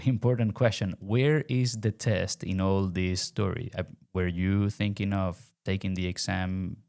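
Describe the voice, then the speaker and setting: A man's voice: low voice, creaky, darkish tone. A man hosting a podcast as an English test teacher, asking his guest direct, friendly questions. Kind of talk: podcast interview.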